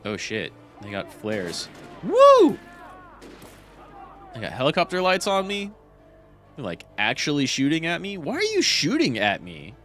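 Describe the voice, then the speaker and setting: A man's voice: a little high, huge pitch swings, measured pace, pauses often, fairly bright tone, faint music behind. A man with a stuffy nose giving casual, chatty commentary while playing a game, swearing and incredulous.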